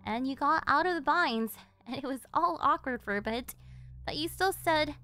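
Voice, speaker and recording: A high-pitched yandere delinquent girlfriend, acted by a woman for an ASMR audio roleplay. She is clingy and doting, pleading sweetly with a possessive, threatening edge. Music plays behind her voice. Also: lively intonation, normal speed, bright, forceful tone. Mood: doting, wistful. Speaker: voice actress.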